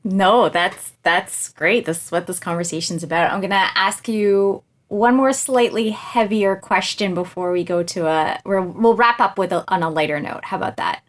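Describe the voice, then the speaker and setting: A woman's voice: medium pitch, normal speed, few pauses. A woman hosting a podcast interview, friendly and affirming as she steers the conversation. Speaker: podcast host.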